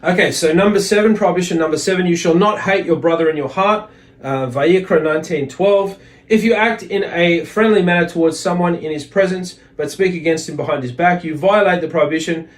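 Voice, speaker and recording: A man with a slightly high voice teaches a religious lesson. He moves between reading a text and explaining it in his own words. His explanations are halting, with words doubled back on and restated.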